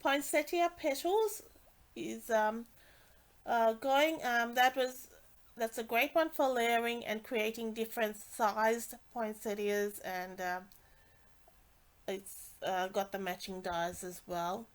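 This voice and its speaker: A woman's voice: a little high, steady tone, slow. Chatty woman with a stuffy nasal voice. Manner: chatty, many fillers and restarts. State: congested and nasal. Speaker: stamping demonstrator. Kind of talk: casual product walkthrough.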